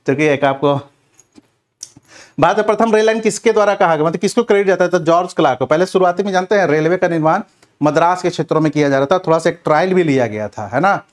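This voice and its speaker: Higher-pitched male exam teacher. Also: fast. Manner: instructive, addresses students directly with repeated check-in tags. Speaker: history teacher for competitive exams. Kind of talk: fast exam-revision lecture, question and answer.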